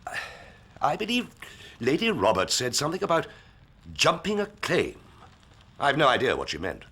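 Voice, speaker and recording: An actor playing a haughty, touchy aristocrat with an upper-class English accent, pompous and clipped, in a period drama. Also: male voice, a little high, huge pitch swings, moderate pace, bright, forceful tone, breathy edge, heavily acted. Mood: reserved, uneasy. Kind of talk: period detective drama acting.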